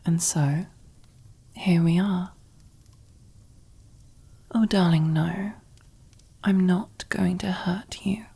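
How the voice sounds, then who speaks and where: Husky, whispering female mob boss, acted by a woman. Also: lowish voice, steady tone, warm tone, heavily acted. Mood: calm menace. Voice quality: breathy, husky near-whisper. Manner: slow, deliberate, teasing, condescending endearments. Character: commanding, possessive, silkily menacing female mob boss. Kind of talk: ASMR roleplay, close whispered character acting.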